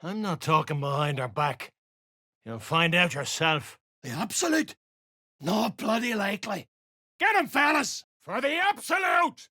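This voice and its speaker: An actor playing a gruff, coarse, bullying soldier of an underground dwarf race in a video game. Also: male voice, sits high, lively intonation, measured pace, sharp tone, dry recording, clean studio sound, full character voice. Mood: barking, aggressive. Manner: coarse, barking, colloquial. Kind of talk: video game character voice lines.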